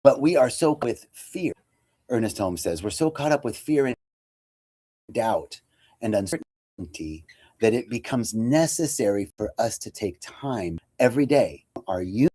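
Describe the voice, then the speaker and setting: A man's voice: medium pitch, lively intonation, a little slow, warm tone. A man giving a casual, conversational inspirational talk as a spiritual teacher on a computer webcam livestream. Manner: casual and conversational, with lots of fillers.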